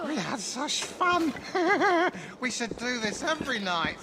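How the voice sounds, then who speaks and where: A man's voice: very high voice, big pitch swings, takes it slowly, heavy background noise, full character voice. An actor playing a drunken, whiny Victorian man in a video game cutscene, slurred and theatrical. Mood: merry, drunk.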